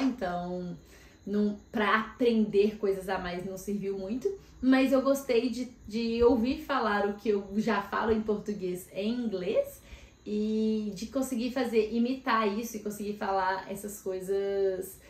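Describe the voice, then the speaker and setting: A woman in casual chat, vlogging and telling about her week with lively asides. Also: medium pitch. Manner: chatty, animated storytelling with frequent asides. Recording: echoey room.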